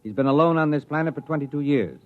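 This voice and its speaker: Actor as authoritative starship commander, muffled vintage radio. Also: male voice, fairly high pitch, close to an everyday voice. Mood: measured, grave. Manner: authoritative, deliberate military formality. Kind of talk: 1950s radio acting.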